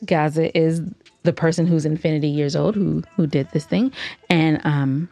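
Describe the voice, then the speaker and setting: A woman co-hosting a podcast, telling a story in casual banter with teasing, dramatic, drawn-out emphasis. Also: low voice, fairly even intonation, vocal fry, warm tone, no room sound, soft background music. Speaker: podcast co-host.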